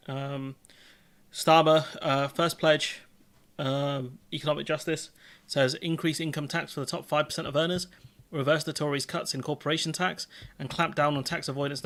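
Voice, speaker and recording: Host, higher male voice, sarcastic ranting commentary. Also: a bit bright. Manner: exasperated, sarcastic ranting with many restarts. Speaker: livestream host. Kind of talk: political commentary livestream.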